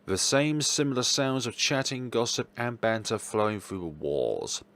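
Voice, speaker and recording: A man reading a visual novel story aloud in an expressive, dramatic way, as a let's player. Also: medium pitch, normal speed. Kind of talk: expressive read-aloud narration of game text. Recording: music playing behind the voice. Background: game background music.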